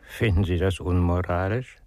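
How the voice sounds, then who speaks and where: A man acting a shrewd antique dealer in a radio drama. His deep voice is matter-of-fact and wry, recorded close and dry. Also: fairly even intonation, moderate pace, warm tone, dry recording, close to an everyday voice. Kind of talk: radio play dialogue.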